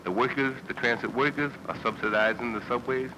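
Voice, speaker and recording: A man's voice: medium pitch, fairly even intonation, brisk. An American man giving earnest political talk in a documentary interview. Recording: noisy old newsreel, traffic-like ambience.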